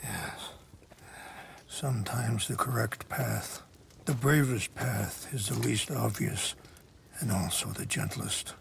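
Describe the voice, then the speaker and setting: A very gravelly old male chief, gentle and weary. He talks slowly and reflectively, like a grave peacemaker, in a video game scene with faint ambience. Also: fairly low pitch, slight background noise, heavily acted. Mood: reflective, sorrowful. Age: older. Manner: measured, reflective, pausing. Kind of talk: video game cutscene acting.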